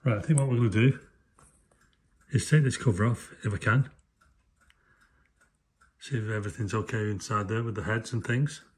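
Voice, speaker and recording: A man giving casual, hands-on commentary in short, unhurried remarks as he works on equipment. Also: lowish voice, fairly even intonation. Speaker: host of a hands-on vintage electronics video. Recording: clear background noise with clicking.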